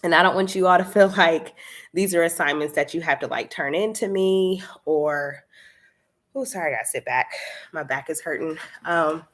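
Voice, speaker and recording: A woman's voice: sits low, moderate pace, a bit bright. A woman hosting a casual live stream, chatting with lots of fillers and laughs, with a slightly stuffy nose. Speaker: candle business coach hosting a live stream.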